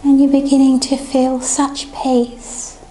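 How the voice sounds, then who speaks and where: Female meditation guide, gentle and soothing, high and mellow, over music. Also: monotone, low-quality recording. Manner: gentle, soothing, unhurried instructions.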